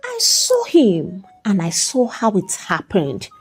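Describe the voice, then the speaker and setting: Woman narrator as guilt-ridden young wife, tearful, pleading, slow, swooping pitch, dramatic storytelling. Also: huge pitch swings, faint music behind. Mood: anxious, hesitant.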